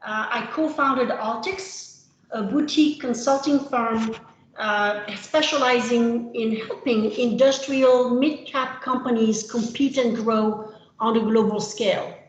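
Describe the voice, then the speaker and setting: A French-born woman in her fifties giving a measured webinar presentation as a consultant, with the odd "uh" between phrases. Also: on the high side, slight background noise. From France. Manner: measured, with filler uhs. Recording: roomy video-call audio.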